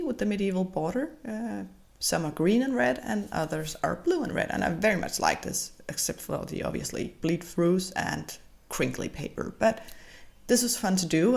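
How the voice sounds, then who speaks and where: Hesitant woman, gravelly low voice. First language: Danish. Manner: hesitant, with many fillers and restarts. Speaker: bullet journal vlogger. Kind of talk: casual voiceover narration.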